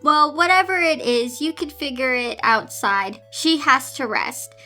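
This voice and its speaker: A woman's voice: high voice, a little slow, sharp tone, soft background music. A woman voicing a caring but firm school infirmary matron in a fan fiction reading. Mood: fussing, firm. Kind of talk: fan fiction read-aloud.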